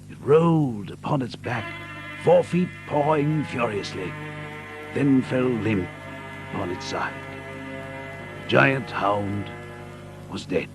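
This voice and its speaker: Earnest gentleman sidekick narrating a mystery radio play, grave n suspenseful, music behind him. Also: mid-range voice, slow, pauses often. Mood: dramatic.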